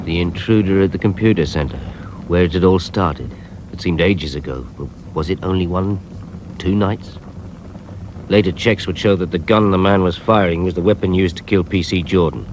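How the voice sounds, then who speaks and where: A man acting a weary police inspector, telling his story in a radio drama. The voice is very low, measured and reflective, over loud background noise. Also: muffled recording, close to an everyday voice. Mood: reflective, sombre. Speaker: actor, narrator. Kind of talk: scripted first-person radio drama narration. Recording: noisy radio drama recording, effects behind.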